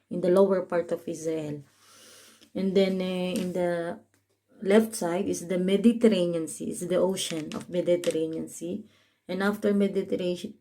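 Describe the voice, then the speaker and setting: A woman with a Filipino accent, Tagalog her first language, talking to her vlog audience in plain, simple English. Her voice sits a little low and sounds soft and mellow. She goes slowly, stopping to restart her sentences and filling gaps with "uh.". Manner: halting, many fillers and restarts, simple explanatory English. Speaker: caregiver and vlogger. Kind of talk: casual update vlog. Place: home in northern Israel.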